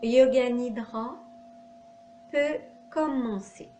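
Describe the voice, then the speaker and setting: A woman leading a guided yoga nidra relaxation as an instructor, speaking in short instructional phrases with long pauses. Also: a little high, warm tone. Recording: echoey room, faint background noise.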